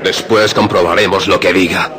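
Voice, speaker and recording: An actor playing a grim, bereaved father set on vengeance in a Spanish radio horror drama, with a gravelly voice. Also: low voice, steady tone, moderate pace, bright, forceful tone.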